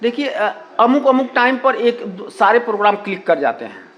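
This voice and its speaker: A man's voice: sits high, very animated, normal speed, a bit bright, lo-fi sound. A man giving explanatory interview answers, repeating himself and mixing in English words. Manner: repetitive, peppers Hindi with English words and filler phrases. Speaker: community radio station representative being interviewed.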